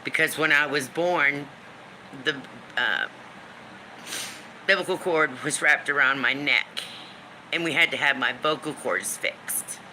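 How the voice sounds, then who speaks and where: A woman in her fifties with a deep smoker's voice, venting on a live stream in a blunt, emphatic way, swearing freely and repeating lines for emphasis. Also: a little slow, long pauses, bright, forceful tone, slight background noise, poor audio. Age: 50s. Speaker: livestream host. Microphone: phone or webcam for a live stream.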